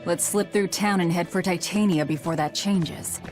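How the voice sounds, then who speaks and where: A woman's voice: on the low side, normal speed, faint music behind, close to an everyday voice. A woman playing a brusque, guarded, sardonic young female fighter in a video game.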